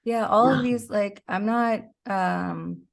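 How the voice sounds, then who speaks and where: A woman's voice: medium pitch, slow pace, creaky. A woman in her forties speaking candidly and emphatically as a guest in a reflective interview conversation. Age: 40s.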